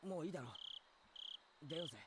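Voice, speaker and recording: Actor as an uneasy, quick-talking teenage boy. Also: on the high side, animated, very noisy, natural voice. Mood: weary. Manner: hesitant, stammering. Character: uneasy, polite teenage boy, curious but squeamish. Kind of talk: Japanese visual novel voice acting. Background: loud music.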